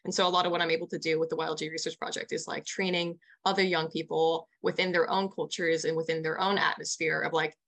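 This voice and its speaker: A woman's voice: low voice, level tone, quick pace, gravelly, few pauses, fairly bright tone. A young American woman in her early twenties answering interview questions in an enthusiastic, chatty way peppered with "like". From United States. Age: early 20s. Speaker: interview guest, young nonprofit founder. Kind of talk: casual spoken interview answers.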